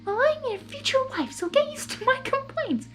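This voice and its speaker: A very high, shrill young female pony, played by a woman in a storybook. She is a stubborn captive, defiant and indignant, pushing words out emphatically. Faint music plays behind her. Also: big pitch swings, normal speed. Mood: snippy.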